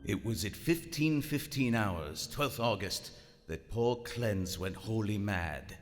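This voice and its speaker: A man's voice: on the low side, lively intonation, slow. A man playing a haughty, coldly rational German submarine commander in a live radio drama, with formal, precise, theatrical diction. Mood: grave, measured. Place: on stage in a large historic theater. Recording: live theater hall with reverb, music behind.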